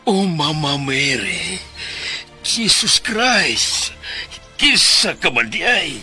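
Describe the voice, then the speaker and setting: A blustering Filipino man of the cloth in a radio drama. He drawls slowly and theatrically, very bright and forceful, with big swings in pitch. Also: animated, full character voice. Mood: startled. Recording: music behind the voice.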